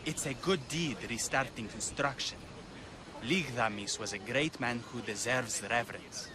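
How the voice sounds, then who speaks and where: A man's voice: medium pitch, measured pace, crowd noise, close to an everyday voice. An actor playing a respectful townsman concerned with a temple in a video game cutscene. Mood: measured.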